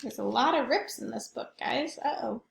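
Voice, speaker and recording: A woman with a fairly high voice leads a children's storytime in an echoey room. She speaks directly to young listeners, pausing for questions and little asides as she presents the book. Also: gravelly, a little hiss or hum. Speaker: children's librarian reading aloud.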